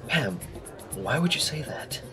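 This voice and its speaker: A woman acts as a blunt, fed-up high schooler in an audio drama. The voice is deep for a woman and lively, with faint music behind. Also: unhurried, heavily acted. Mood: exasperated.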